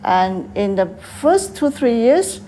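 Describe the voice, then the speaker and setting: Older Taiwanese woman in halting English. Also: fairly high pitch, sing-song, mellow, a little hiss or hum. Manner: hesitant, halting English with many fillers. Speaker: guest, tea house owner. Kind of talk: interview answers.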